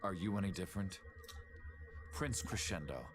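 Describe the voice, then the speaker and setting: An actor playing a somber, regretful young man addressing his prince in a video game, solemn and deliberate. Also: low voice, lively intonation, crowd noise. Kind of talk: dramatic game cutscene dialogue.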